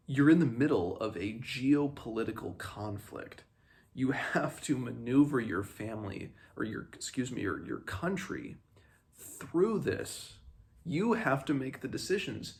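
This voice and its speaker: A man talks through a book in a halting, thoughtful way, as a read-along host. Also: fairly low pitch, vocal fry. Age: 20s. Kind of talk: casual book commentary with passages read aloud. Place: small room at home, indoors.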